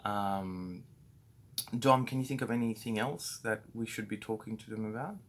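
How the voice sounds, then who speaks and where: A man's voice: fairly low pitch, moderate pace. An Australian man giving a casual, rambling training talk to his staff, full of fillers and restarts. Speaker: business owner training staff.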